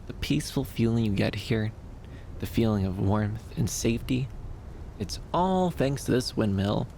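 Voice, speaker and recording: A man playing a gentle, shy, dreamy young femboy in an ASMR roleplay, soft-spoken and hesitant, soothing, with lilting upturns. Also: lowish voice, expressive, moderate pace, vocal fry, a little hiss or hum. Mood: calm, welcoming.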